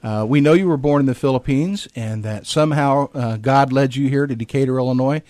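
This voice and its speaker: A man's voice: medium pitch, fairly quick, darkish tone, no echo. Easygoing male interviewer, dry sound. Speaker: interviewer for the hospital. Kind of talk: conversational interview.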